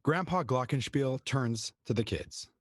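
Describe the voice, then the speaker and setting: Husky, expressive male stage-direction narrator. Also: medium pitch, measured pace, no echo, studio quality. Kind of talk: scripted narration.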